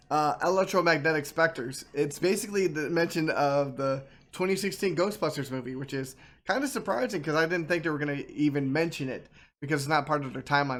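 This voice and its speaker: Higher-pitched man, casual game streamer. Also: a bit bright. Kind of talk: casual reaction commentary.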